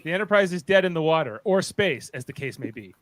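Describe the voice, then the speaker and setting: A man's voice: a little high, expressive, fast talker, no room sound. A man narrating stage directions for a radio play, with wry casual asides.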